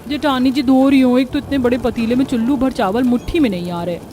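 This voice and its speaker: A woman's voice: sits high, fast, warm tone, lightly acted. A woman voicing an exasperated, practical young village daughter-in-law in a cartoon story, complaining emphatically. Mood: urgent, animated. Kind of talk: Hindi cartoon story acting.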